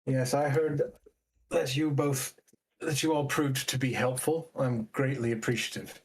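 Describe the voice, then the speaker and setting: A gracious firbolg woman who leads a camp in a tabletop fantasy game, played by a man. The speech is slow, formal and measured, at a middling male pitch. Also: steady tone, lightly acted, low-quality recording. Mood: grateful, measured. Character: courteous female firbolg camp leader.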